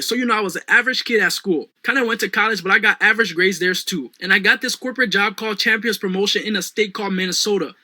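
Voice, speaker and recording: Fast, bright-voiced Liberian man pitching. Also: dry recording. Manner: pitchy, repeats "guys" and "right now". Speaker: business coach. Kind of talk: motivational sales talk.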